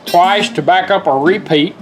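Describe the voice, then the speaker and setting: Higher-voiced man demonstrating gear over music. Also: moderate pace. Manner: folksy, step-by-step instruction. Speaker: product demonstrator. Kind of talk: informal hands-on tutorial.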